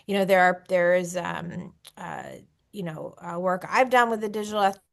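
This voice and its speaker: A woman speaking as a scholar on an academic panel, earnest and halting as she rephrases her thoughts aloud. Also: fairly low pitch, fairly even intonation, creaky. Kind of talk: unscripted academic discussion.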